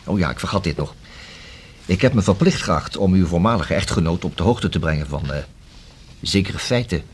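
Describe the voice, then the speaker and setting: An actor playing a formal, deliberate police inspector in a radio drama, speaking in a measured, officious and halting way. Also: male voice, low-pitched, expressive. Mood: formal, insistent. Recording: faint background noise on old radio recording.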